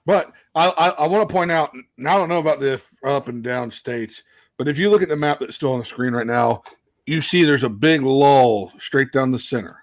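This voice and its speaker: A man co-hosting a casual podcast over a video call, earnestly laying out his theories as he thinks out loud. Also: mid-range voice, moderate pace. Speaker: podcast co-host.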